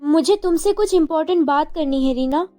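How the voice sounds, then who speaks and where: A woman's voice: sits high, fairly quick, dark tone, no echo, faint music behind, natural voice. A woman playing a jealous, possessive college girl in a love-story drama, confrontational and scolding as she threatens. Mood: menacing.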